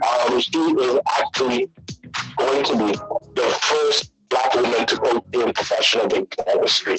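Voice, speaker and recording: A man's voice: mid-range voice, poor audio. Man reading sports scores on radio. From Bahamas. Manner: reads scores with many fillers. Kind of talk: radio sports news rundown. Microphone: headphones on a video call.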